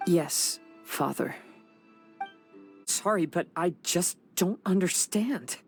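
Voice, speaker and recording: A man playing an earnest, dutiful young samurai son in a video game, soft-spoken and reflective. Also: sits high, slow pace, breathy edge, heavily acted. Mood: pleading, upset. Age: young adult. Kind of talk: dramatic video game voice acting. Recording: music behind the voice. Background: music.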